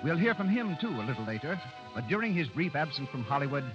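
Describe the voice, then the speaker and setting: A man's voice: on the high side, big pitch swings, fast, over music. A man making scripted announcements on a 1930s radio show in a formal, grandly presentational manner. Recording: dull 1930s radio broadcast recording.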